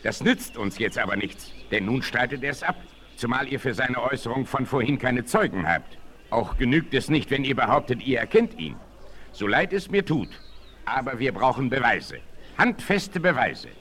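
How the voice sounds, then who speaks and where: Commanding, animated frontier hunter. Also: male voice, normal speed. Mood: measured authority. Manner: booming, authoritative, declamatory. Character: stern, commanding frontier hunter, fair-minded leader and judge. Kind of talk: 1960s German radio play acting. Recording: faint noise, old vinyl record.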